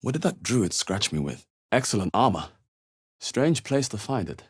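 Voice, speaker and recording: An actor playing a wry, watchful male fantasy adventurer in a video game, with a husky, dry, understated delivery. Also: lowish voice, sing-song, normal speed. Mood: musing. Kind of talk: video game character voice lines, short reactions.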